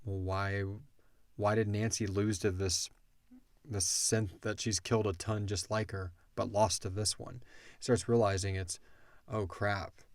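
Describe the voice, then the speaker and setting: A man's voice: on the low side, unhurried, no echo. A man hosting a podcast, casually discussing a story and acting out bits of dialogue as he retells it. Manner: animated retelling, acts out characters' lines. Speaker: podcast host and audio drama creator answering listener questions.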